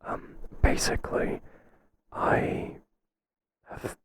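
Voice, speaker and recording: A man playing a hesitant, flattering boss in a whispered ASMR roleplay, speaking softly and breathily in a halting, confiding way. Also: a little hiss or hum. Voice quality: soft, breathy, mostly whispered.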